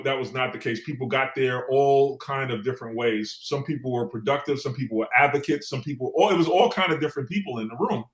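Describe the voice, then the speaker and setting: A middle-aged man from New York City talks as an interview guest in a medium-pitched voice. He tells his story in a conversational, emphatic way, repeating phrases to drive points home. The recording has a clear room echo. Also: a bit bright. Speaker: guest, former city council member. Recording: echoey room sound.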